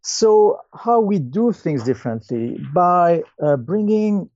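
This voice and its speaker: A man in his fifties with a French accent answers interview questions conversationally, in a hesitant, halting way with many pauses. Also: sits high, big pitch swings, darkish tone, no echo, lo-fi sound. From France. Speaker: guest, company founder and CEO.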